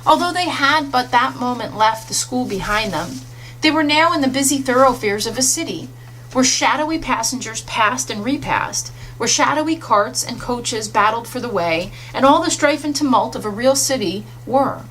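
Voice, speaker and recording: A woman's voice: fairly high pitch, animated, normal speed, a bit bright. A woman reading a literary story aloud as an audiobook narrator, in a scripted storytelling style. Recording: faintly noisy audiobook recording.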